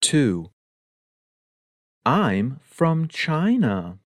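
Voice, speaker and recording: A woman reading an English listening test aloud, slowly and deliberately, saying each item twice. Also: a bass voice, sing-song, no echo, studio quality. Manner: reads each item twice, evenly spaced. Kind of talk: slow, deliberate test dictation.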